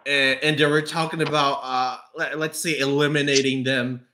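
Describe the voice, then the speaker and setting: A man hosting a casual podcast chat, halting and stammering as he repeats his words. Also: mid-range voice, level tone, pushed and bright. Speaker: podcast host.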